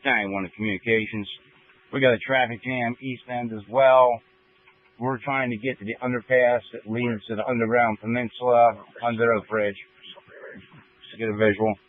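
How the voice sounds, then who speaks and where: A man's voice: medium pitch, fairly even intonation, normal speed. A man speaking as a fire battalion officer, giving status updates over a two-way emergency radio. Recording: tinny narrowband emergency scanner radio.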